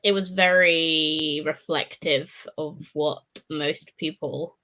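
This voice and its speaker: A woman's voice: sits low, fairly even intonation, slow pace, pushed and bright, poor audio. A woman in a casual book discussion, speaking informally and reflectively with plenty of swearing. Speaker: participant in a live book readalong discussion. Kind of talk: casual chat about books.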